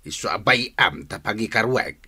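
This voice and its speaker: A man's voice: on the low side, lively intonation, normal speed, pushed and bright, slightly rough, heavily acted. An actor with a Filipino accent playing an angry, stern middle-aged father in a radio drama.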